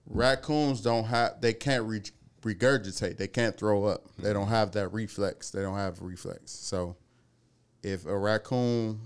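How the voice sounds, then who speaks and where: A man in his thirties with a low, gravelly voice tells stories in loose, slangy talk, swearing as he goes. He comes from the Cleveland area and carries the chat with a lot of animation. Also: unhurried. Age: 30s. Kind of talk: casual storytelling chat.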